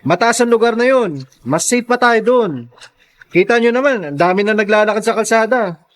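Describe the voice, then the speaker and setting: A level-headed Filipino family man in a radio drama. His voice is high for a man, and he talks in a practical, concerned way. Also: lively intonation, moderate pace. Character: level-headed relative urging family to evacuate.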